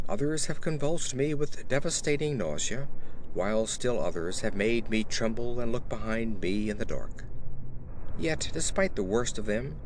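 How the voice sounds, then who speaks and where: Male narrator for gothic horror audiobook: measured, emphatic, highly animated intonation, faint background noise. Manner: theatrical, measured, emphatic phrasing. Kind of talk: dramatic scripted horror narration.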